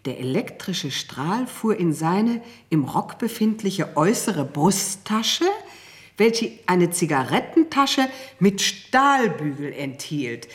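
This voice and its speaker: An actress playing a cool, mocking older mistress of a genteel household in a radio play, speaking in a dry, prim, formal manner. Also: female voice, fairly low pitch, sing-song, slow. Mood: measured, narrating. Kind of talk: radio drama acting.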